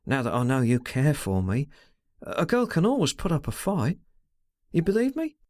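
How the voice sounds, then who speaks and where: A man's voice: fairly high pitch, no room sound, studio quality. A man reading a novel aloud, voicing a distressed young woman under twenty who speaks hurriedly, stammers and pleads ardently.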